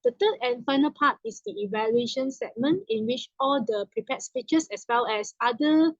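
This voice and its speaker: A woman's voice: sits high, animated, normal speed, dry recording. A woman hosting a club meeting, explaining its program in a formal, explanatory way. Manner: formal, explanatory, some restarts. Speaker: meeting host, toastmaster of the evening. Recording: dull lo-fi dry sound.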